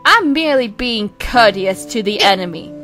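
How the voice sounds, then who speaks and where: A woman's voice: a little high, expressive, moderate pace, bright, forceful tone, faint music behind. A woman voicing a proud, prim male twin in an amateur comic dub, haughty and theatrical but grudgingly kind. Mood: stiff, haughty.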